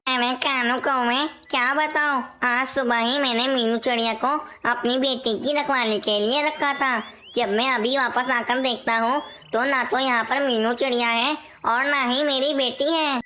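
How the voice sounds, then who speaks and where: A woman's voice: sits high, fairly even intonation, measured pace, few pauses, bright, forceful tone. A woman voicing an anxious, indignant father parrot who rules as king in a cartoon story. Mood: worried. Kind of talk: cartoon moral-story dialogue.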